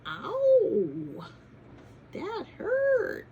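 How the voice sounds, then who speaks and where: A woman acts out a panicky mother-hen character from a children's storybook. The voice is high and squawking, swooping with dramatic alarm. It is loud and frantic, with a light background noise. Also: wildly swooping pitch, very slow, vocal fry, phone-quality sound, heavily acted. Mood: hurt. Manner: shrill, dramatic alarm cries.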